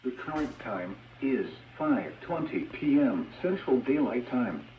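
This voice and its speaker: A synthetic man's voice reading an automated emergency bulletin over radio in a formal, measured manner. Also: mid-range voice, animated. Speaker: weather radio alert announcer. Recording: tinny narrowband radio, distant, faint noise.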